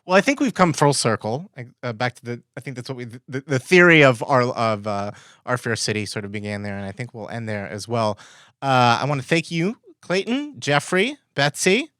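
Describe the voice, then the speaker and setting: A man's voice: medium pitch, expressive, normal speed, a bit bright, clear, resonant tone, no echo, clean studio sound. A man hosting a podcast, chatting casually with his guests in an interview style. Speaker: podcast host.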